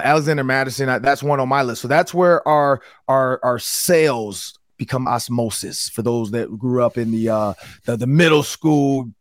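A man hosting a sports podcast, talking in a loose, upbeat way with a hoarse voice. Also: mid-range voice, dry recording. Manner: upbeat, loose, repeats words. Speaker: podcast host. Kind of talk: casual sports talk.

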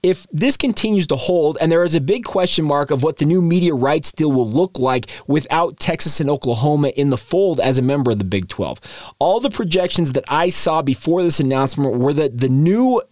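A man hosting a daily sports podcast, energetic and chatty, his voice a bit stuffy from allergies. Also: a little high, brisk, no echo, clean studio sound. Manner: energetic, conversational, chatty asides. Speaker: podcast host.

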